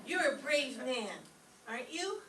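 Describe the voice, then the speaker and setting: A woman's voice: sits high, animated, a little slow, a bit bright. A woman playing a naive, timid, dim-witted young woman in an old-time radio comedy, speaking in a guileless way. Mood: admiring. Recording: echoey stage, distant mic, faint noise.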